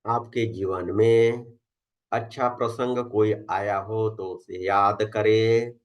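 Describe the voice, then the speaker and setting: A man with an Indian accent leading a guided meditation in a calm, unhurried, soothing way, repeating phrases with long pauses. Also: on the low side.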